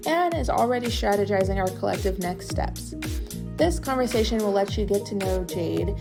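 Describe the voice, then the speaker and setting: A woman hosting a podcast, reading a scripted introduction and then asking encouraging interview questions. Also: medium pitch. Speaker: podcast host.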